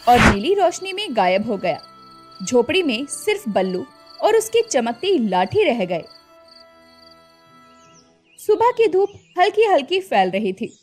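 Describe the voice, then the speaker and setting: A woman narrating a cartoon story in a lively, expressive storytelling manner. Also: high voice.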